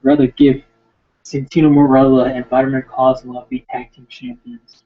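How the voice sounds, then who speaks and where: A man ranting casually and sarcastically about wrestling, rambling and slipping into quick impressions. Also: medium pitch, flat delivery. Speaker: wrestling commentator. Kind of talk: casual rant. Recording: tinny phone-like lo-fi sound.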